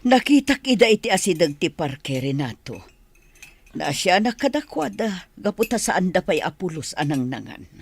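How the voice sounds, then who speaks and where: Woman playing a kind, animated wife. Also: lowish voice, normal speed, slightly rough, full character voice. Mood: kindly. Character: kind, concerned, generous wife helping poor strangers. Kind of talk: Ilocano radio drama acting.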